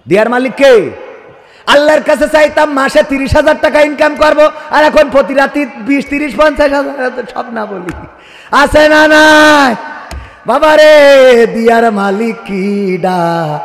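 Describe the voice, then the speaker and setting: High-voiced young Bangladeshi preacher man, heavy reverb. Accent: Bangladeshi Bengali. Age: young adult. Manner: loud declamatory delivery slipping into singsong chant and asking the crowd for responses. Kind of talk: waz sermon with donation appeals and prayers. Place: stage at a religious gathering. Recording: long hall reverb from amplified sound system.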